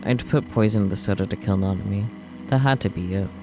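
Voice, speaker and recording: A man's voice: on the low side, quick pace. A man reading visual novel text aloud as a streamer, with wry, offhand asides. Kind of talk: reading game text aloud with casual commentary. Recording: game music behind the voice. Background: video game music.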